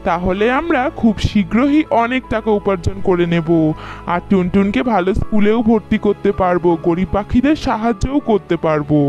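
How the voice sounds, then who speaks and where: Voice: medium pitch, animated, normal speed, darkish tone, highs cut off, soft background music, natural voice. An actor voicing a humble, good-hearted male fisherman bird in a children's cartoon, speaking in a simple, agreeable, earnest way. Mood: pleased.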